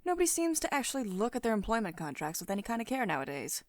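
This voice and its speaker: A woman playing a poised, teasing, mildly dominant company boss in an intimate ASMR roleplay, speaking in a measured, authoritative and condescendingly affectionate manner. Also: fairly high pitch, big pitch swings, darkish tone, dry recording, studio quality. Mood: amused, approving. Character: poised, teasing, mildly dominant female CEO of tech firm. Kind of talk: ASMR roleplay, intimate one-sided dialogue. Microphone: close studio-style microphone, dry voice-over.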